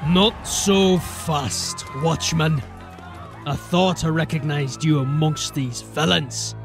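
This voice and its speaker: Gravelly, swaggering gang boss. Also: male voice, a little high, fairly even intonation, takes it slowly, over music. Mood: mocking, menacing. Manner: taunting, swaggering. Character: menacing, mocking criminal gang boss. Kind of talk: fantasy audio drama acting.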